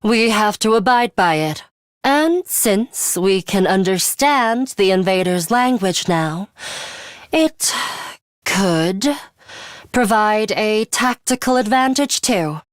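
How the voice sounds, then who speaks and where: A woman voicing a coolly pragmatic, blunt young female fighter in a video game, speaking in a measured, serious, clipped way. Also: medium pitch, no room sound, studio quality, lightly acted. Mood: composed. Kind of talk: English video game voice acting.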